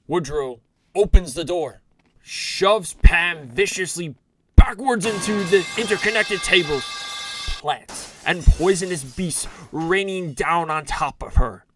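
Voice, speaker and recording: A man's voice: high voice, slow, background noise. A man reading dramatic scripted narration for an audio drama.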